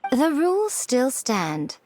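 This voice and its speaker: A woman's voice: high-pitched, huge pitch swings, slow pace, darkish tone, slight background noise, full character voice. A woman playing a coy, wryly aloof noblewoman in a video game, speaking in a composed, formal and teasing way.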